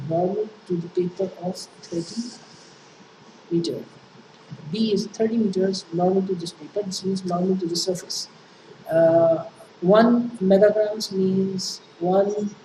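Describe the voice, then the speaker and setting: A woman with a Pakistani accent, a native Urdu speaker, giving an engineering lecture and walking through worked problems with frequent fillers and restarts. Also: mid-range voice. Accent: Pakistani English. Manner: halting, many fillers and restarts. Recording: echoey room, distant mic, noisy.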